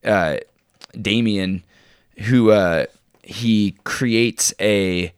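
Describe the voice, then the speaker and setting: A man co-hosting a podcast, chatting casually in an informal, halting way and sounding enthusiastic about his favorites. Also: lowish voice, clean tone, dry recording, clean studio sound. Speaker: podcast co-host. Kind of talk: casual chat, recommending shows and books.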